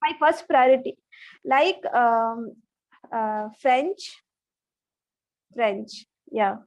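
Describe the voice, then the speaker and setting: A woman with a high voice talks over a video call. She is a Bangla speaker practicing her English, and she halts and restarts often as she hunts for words. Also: drawn-out words, rough recording. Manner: hesitant, many restarts and fillers. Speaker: English learner. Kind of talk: online English practice conversation.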